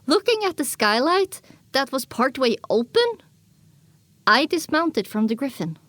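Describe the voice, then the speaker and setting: A woman's voice: high-pitched, very animated, measured pace, a bit bright, no echo. A woman reading scripted first-person story narration for an audio drama.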